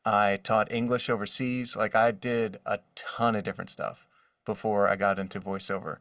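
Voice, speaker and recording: A man in his forties speaking casually as an interview guest, telling stories in an animated manner from his studio. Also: lowish voice, moderate pace, dry recording, far from the mic. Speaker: guest, voice actor. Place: his own voiceover studio.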